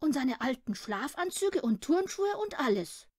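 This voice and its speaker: Storybook little boy played by a woman: high-pitched, childlike, earnest. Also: sing-song, measured pace, a little mellow, no echo, clean studio sound. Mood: reluctant.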